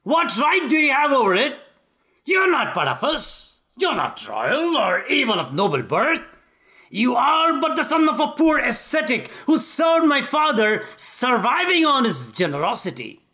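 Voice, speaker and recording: A man with Tamil as his first language voicing an arrogant reigning king who talks in a shouting, haughty, scornful way. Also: very high-pitched, huge pitch swings, measured pace, pitched above the performer's own voice, exaggerated voice. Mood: scornful furious.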